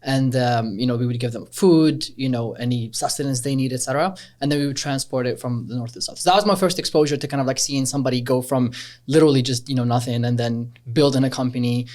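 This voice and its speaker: A man in his twenties, originally from Algeria, talking casually as a startup co-founder in an interview, relaxed and rambling with many fillers. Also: mid-range voice, fast talker. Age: 20s.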